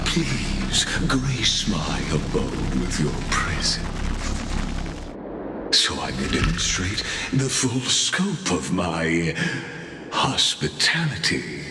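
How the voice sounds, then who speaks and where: An actor playing a suave, ominous scholar in a video game, speaking with formal, ornate politeness. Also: male voice, low voice, full character voice. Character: suave, ominous male scholar, theatrically courteous host observing an experiment. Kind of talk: dramatic game voice acting. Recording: echoey voice over music.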